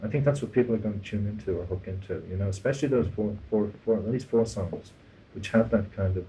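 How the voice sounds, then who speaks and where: Fast-talking man with a dark, mellow voice. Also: lowish voice, a little hiss or hum, rough recording. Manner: probing, rephrasing his questions as he goes. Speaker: interviewer, music journalist. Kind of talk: conversational interview questioning.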